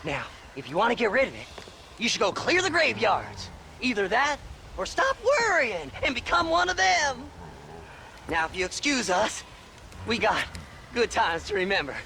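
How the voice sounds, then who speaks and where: An actor voicing an unhinged, giddy Western eccentric with a rural American drawl in a video game. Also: male voice, sits high, big pitch swings, normal speed, creaky, pushed and bright, slight background noise, heavily acted. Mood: manic, scornful. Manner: theatrical, drawling, wildly swooping delivery. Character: unhinged, scrawny male grave-robbing eccentric, giddy and erratic. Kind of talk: video game cutscene acting.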